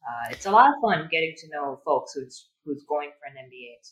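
A woman's voice: low voice, lively intonation, fairly quick, rough recording. A woman from Thailand speaking as a podcast guest, chatty and friendly, often correcting herself mid-sentence. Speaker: guest, project manager. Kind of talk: casual interview.

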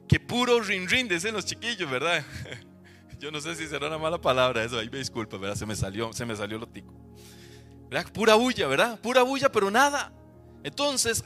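A man's voice: high voice, bright, forceful tone. A man with a Costa Rican accent preaching a sermon to his congregation, emphatic and full of rhetorical questions. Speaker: pastor.

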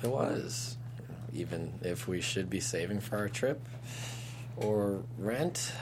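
A man's voice: low-pitched, slow pace, a little mellow, slight background noise. A man playing a teasing, money-worried husband in his late thirties at a comedy table read.

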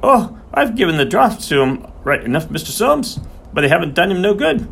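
A man's voice: sits high, sing-song, brisk, creaky, fairly bright tone, slightly rough, pitched above the performer's own voice, heavily acted. A man voicing a large, meek stable hand in an audiobook, anxious and defensive, with rustic ungrammatical speech. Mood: scared, defensive.

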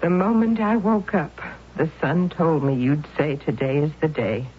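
An actress playing a devoted, anxious aunt in a 1970s radio drama, speaking in a soothing, earnest way. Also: female voice, low voice, moderate pace, a little hiss or hum, close to an everyday voice. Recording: tinny old radio broadcast.

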